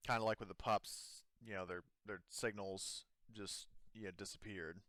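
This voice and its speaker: A man's voice: on the low side, fairly even intonation, a bit bright, close to an everyday voice. A man playing a hesitant, evasive colony administrator in a tabletop sci-fi game, hedging as he goes. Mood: halting, uneasy. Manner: halting, hedging. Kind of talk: improvised tabletop roleplay dialogue.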